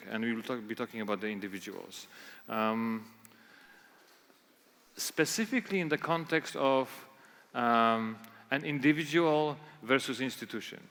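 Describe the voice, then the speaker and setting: Polish-accented male speaker, mid-pitched, hesitant, lots of restarts, echoey conference hall. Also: a bit bright. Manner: halting, many fillers and restarts. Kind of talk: conference talk. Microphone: handheld or stage microphone.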